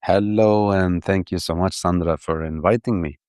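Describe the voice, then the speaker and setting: A man's voice: sits low, steady tone, moderate pace, darkish tone, no echo, clean studio sound. A man in his thirties from Iraq, a guest on a podcast, talking with enthusiasm and often restarting and repeating his words. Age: 30s. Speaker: podcast guest, urban planner. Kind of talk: casual interview talk.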